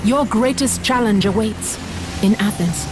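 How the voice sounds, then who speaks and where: An actress playing a calm, commanding Greek goddess in a video game, speaking in a regal, measured, solemn way. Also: female voice, fairly high pitch, music in the background, natural voice. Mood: solemn, urgent. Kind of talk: dramatic video game acting.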